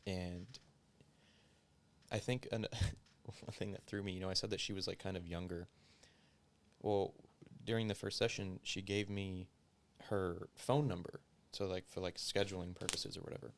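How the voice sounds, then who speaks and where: Young man, very deep voice, gravelly fry, casual profane podcast chatter. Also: steady tone, normal speed. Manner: profane, rambling, lots of "like". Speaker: podcast guest.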